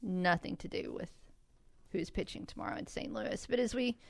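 Slightly hoarse woman radio host, dry studio. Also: medium pitch, moderate pace, no room sound. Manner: chatty, teasing. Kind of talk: casual studio talk.

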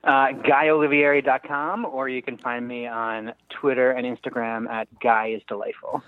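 A man's voice: medium pitch, level tone, normal speed, dry recording. A man chatting casually as an interview guest, a writer on a remote call. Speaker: guest screenwriter. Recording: narrow phone-like line.

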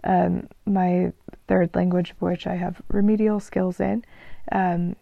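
A woman chatting casually in a travel vlog, rambling and relaxed, with frequent ums and restarts, on a dull, lo-fi recording. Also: fairly low pitch, slow pace, creaky, no echo. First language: English. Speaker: vlogger.

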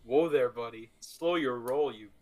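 A man's voice: mid-range voice, a little slow, darkish tone. A man co-hosting a podcast, chatting casually and playfully about movies with an enthusiastic manner. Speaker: podcast co-host.

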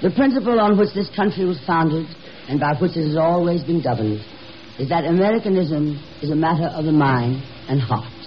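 A man narrates in formal 1950s radio style, solemn and declamatory. His voice sits a little high, and the old broadcast is muffled with some background noise. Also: animated, fairly quick. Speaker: announcer and narrator.

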